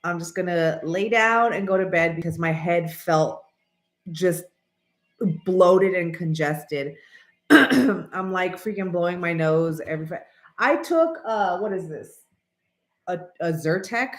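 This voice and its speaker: A woman in her late thirties talks to her viewers in a loose, rambling vlog chat, her voice stuffy and nasal from congestion. She clears her throat often, and her voice sits a little low for a woman. The sound has an echoey, roomy ring. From American. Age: 30s. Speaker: channel host.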